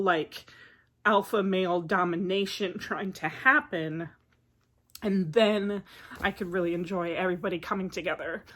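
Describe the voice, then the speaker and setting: A woman vlogger who is getting over a cold talks through her thoughts on books in a loose, rambling way, dropping filler words as she goes. Her voice sits at an ordinary middle pitch. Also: fairly bright tone. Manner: chatty, rambling, many filler "like"s. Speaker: book vlogger. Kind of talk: casual book review vlog.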